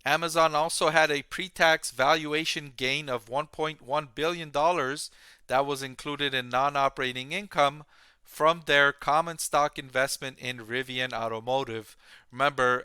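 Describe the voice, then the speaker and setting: A man presents financial commentary as an analyst addressing his viewers. His voice is clear and forward, with a bright edge, in a very dry recording. He explains each point plainly and deliberately. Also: mid-range voice, dry recording. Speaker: host, stock analyst.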